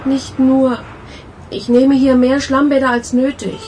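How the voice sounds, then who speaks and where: A blunt, wry, weary woman in a radio crime play. Her voice is high and grumbling, with clear car noise behind. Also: level tone, a little slow. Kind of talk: German radio crime drama acting.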